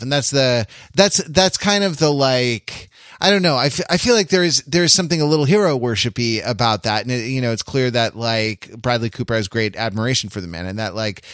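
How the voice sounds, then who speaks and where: Halting man discussing film, very dry sound. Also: mid-range voice, a bit bright, clear, resonant tone, dry recording. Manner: halting, repeats words and rephrases, checks with "right?". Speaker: podcast panelist discussing a film. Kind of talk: casual discussion.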